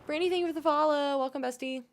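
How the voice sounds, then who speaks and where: A woman streaming a game, chatting fast and casually with her viewers. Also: high voice, steady tone, over music. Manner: fast-talking, chatty, bubbly.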